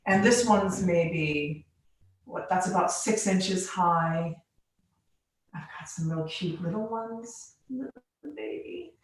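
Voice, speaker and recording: A woman from the Bahamas and Virgin Islands, a glass artist giving a casual guided tour in an informal, halting way with pauses between phrases. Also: on the low side, slight background noise. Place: living room of a home studio. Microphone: phone on a selfie stick. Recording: echoey room, live video stream.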